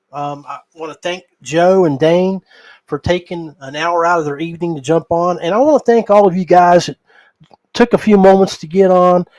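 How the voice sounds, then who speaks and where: A man with a noticeably high voice hosts a casual podcast. He is folksy and familiar, addressing people like old buddies. The sound is very dry, with hardly any room to it. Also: no room sound, low-quality recording. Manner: folksy, familiar, addresses listeners as guys and buddy. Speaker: podcast host. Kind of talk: casual fishing podcast chat.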